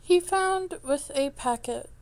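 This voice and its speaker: A woman reading a story aloud as an amateur narrator, with a halting delivery that stumbles over words and goes back to reread them. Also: high-pitched, lively intonation, darkish tone, slight background noise. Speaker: amateur fan fiction reader.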